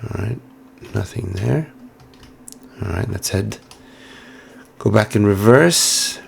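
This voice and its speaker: Deep, gravelly hoarse man narrating gameplay. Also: animated, slow pace, slight background noise. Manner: musing, chatty, many fillers. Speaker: game streamer. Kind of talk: casual let's-play commentary.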